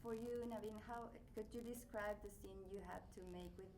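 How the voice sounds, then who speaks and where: A woman's voice: medium pitch, fairly even intonation, fairly quick. A woman with a Chilean accent, a native Spanish speaker, asking interview questions hesitantly as she searches for words. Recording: busy crowd noise behind.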